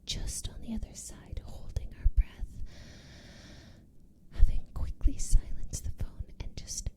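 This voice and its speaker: A woman whispering a casual recap of a TV series, with lots of "like" and "you know". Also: a little slow.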